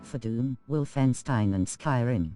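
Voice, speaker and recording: A male voice reading out viewer chat messages in a flat, mechanical way. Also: mid-range voice, a little slow, soft background music. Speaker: chat messages read aloud.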